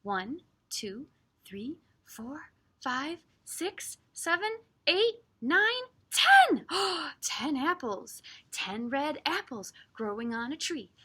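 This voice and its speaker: A woman with a bright, fairly high voice leads a story time for young children. She speaks in an animated, sing-song way with dramatic emphasis. Her manner is encouraging, like a children's librarian reading aloud. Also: very slow. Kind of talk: expressive read-aloud and chat for young children.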